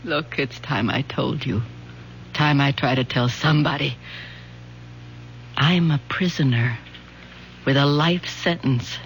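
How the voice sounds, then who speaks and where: A woman's voice: rumbling low, slow, long pauses, dull audio, slight background noise, exaggerated voice. An actress playing a lonely, bitter middle-aged mother, earnest and dramatic, with an American accent in old-time radio style. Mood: fragile, despairing.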